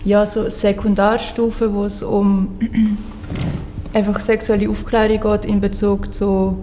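A young woman in her twenties speaks in an even, flat tone with a mellow sound. She sounds hesitant, with pauses and ums, over faint background noise. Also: medium pitch. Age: 20s. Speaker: guest, psychology student. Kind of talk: casual panel introduction.

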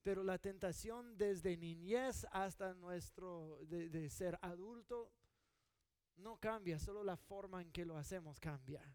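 A man's voice: high voice, a little slow, darkish tone, smooth, no echo. A middle-aged man from California preaching a sermon in an earnest, conversational way, often restarting his sentences. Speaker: pastor.

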